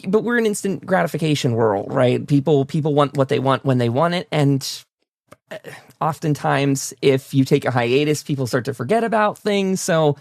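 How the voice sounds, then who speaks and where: A man's voice: a little high, lively intonation, normal speed, creaky, darkish tone, dry recording, studio quality. A man hosting a conversational interview, talking in a discursive way and repeating words as he forms his thoughts. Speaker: talk show host, interviewer.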